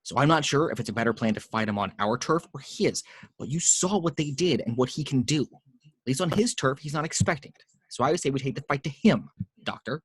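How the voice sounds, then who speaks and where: A man's voice: medium pitch, lively intonation, a little fast, slightly rough, dry recording. A man playing a stubborn, weary, sardonic superhero in an amateur script read, with a dry conversational delivery. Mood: tense, stubborn.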